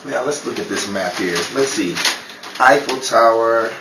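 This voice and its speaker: A man's voice: mid-range voice, measured pace, natural voice. Flirtatious, self-assured Parisian charmer, played by a man. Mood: playfully flirting. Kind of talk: old-time radio romantic comedy acting. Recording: roomy, distant, noisy audience recording.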